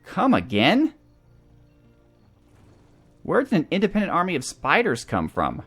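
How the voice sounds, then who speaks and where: A man's voice: high-pitched, wildly swooping pitch, a little slow, fairly bright tone, husky, faint music behind. A man giving casual gameplay commentary as a let's play host, thinking aloud through his moves.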